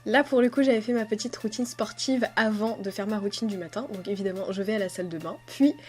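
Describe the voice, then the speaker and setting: A young woman narrates in a casual, chatty voice-over, her voice stuffy and nasal from a cold. Her pitch sits at a medium height, and she talks in a relaxed way, with little pauses and hesitations. Soft music plays quietly behind her. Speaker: beauty vlogger. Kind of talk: voice-over narration of a skincare routine. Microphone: voice-over recorded separately.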